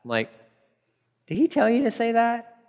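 A man pastor preaching a verse-by-verse sermon, animated, acting out the story's dialogue in different voices. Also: very high voice, moderate pace, in a large hall. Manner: animated, acts out dialogue with laughs.

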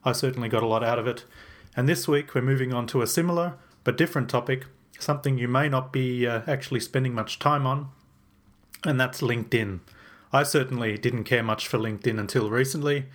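A man's voice: medium pitch, a little fast. A young man hosting a podcast, upbeat and chatty as he introduces the show and questions his guest. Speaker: podcast host.

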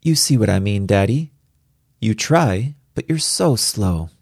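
Storybook little girl, performed by a man, precocious, raised pitch, patient, matter-of-fact, superior, close dry sound. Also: animated, a little slow, vocal fry, a little mellow, no echo, exaggerated voice. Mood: patient, exasperated. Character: precocious four-year-old girl, brilliant telepath, patient and superior.

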